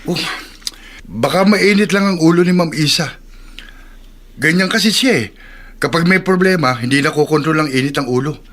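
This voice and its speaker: A kindly older Filipino man as an actor plays him with a notably high voice. He is folksy and reassuring, a soothing adviser in a radio drama. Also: normal speed, long pauses, fairly bright tone, lightly acted. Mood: concerned, reassuring. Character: kindly older male co-worker giving soothing advice.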